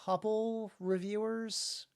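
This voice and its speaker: A man's voice: high voice, level tone, takes it slowly, smooth. A man co-hosting a podcast and talking casually about his writing, often repeating words as he goes. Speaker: podcast co-host and author.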